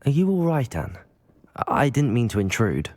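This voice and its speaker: An actor playing a composed young wizard student in a video game, courteous and measured, with a husky voice. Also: male voice, medium pitch, sing-song, a little mellow, no echo. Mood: sympathetic. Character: polite, composed young male wizard student, supportive friend. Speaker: game protagonist. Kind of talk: video game dialogue acting.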